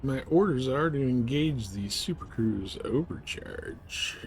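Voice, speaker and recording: A man's voice: medium pitch, lively intonation, slow. Male, mid-range voice, livestream host, casual off-the-cuff gaming commentary.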